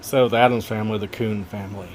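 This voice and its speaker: Gentle folksy man narrating. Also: on the low side, fairly even intonation, fast, noisy background. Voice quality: relaxing voice. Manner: gentle, folksy, joking asides. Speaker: narrator of wildlife camera footage. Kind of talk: casual narration over video.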